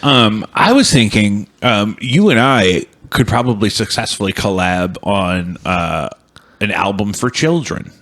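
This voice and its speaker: A male host chats casually with his guest and works his way toward each point, with frequent ums and restarts. His voice is somewhat low and relaxed. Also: a little slow. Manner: thoughtful, hesitant, lots of ums and restarts. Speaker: podcast host. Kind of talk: casual interview chat. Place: home studio.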